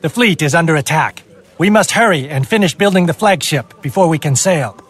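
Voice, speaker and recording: A grave, principled treasure-fleet captain for a strategy game; husky, formal, measured. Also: male voice, a little high, lively intonation, fairly bright tone. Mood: urgent, resolute. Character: earnest, dutiful Chinese treasure-fleet captain, grave and honorable. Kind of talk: dramatic game cutscene acting. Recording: game audio with music behind.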